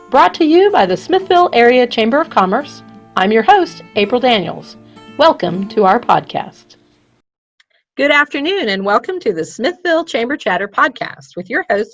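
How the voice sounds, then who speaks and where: Upbeat woman host, slightly high, dry recording, interviewing over a video call. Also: no room sound. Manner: upbeat, warm praise of guest. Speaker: podcast host. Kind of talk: scripted intro then interview chat.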